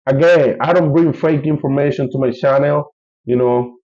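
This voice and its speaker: A man's voice: medium pitch, fairly even intonation, moderate pace, a little mellow, muffled recording. Man with a Colombian Spanish accent, rambling. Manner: rambling, many fillers and restarts. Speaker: travel vlogger. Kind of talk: casual vlog update.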